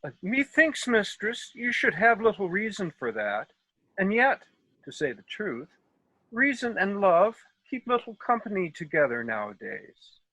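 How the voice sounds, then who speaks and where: A man's voice: high voice, sing-song, unhurried, sharp tone, no room sound, full character voice, poor audio. A man playing a foolish, self-assured but courteous rustic workman in a comic Shakespeare table read, with theatrical delivery over a video call. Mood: bemused, cocky.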